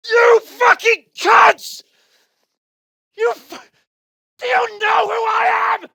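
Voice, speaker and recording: An actor playing a haughty, hot-headed young nobleman in a video game, pompous and sharp-tongued. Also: extremely high pitch, monotone, slow, no room sound, clean studio sound, full character voice. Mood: shouting, indignant.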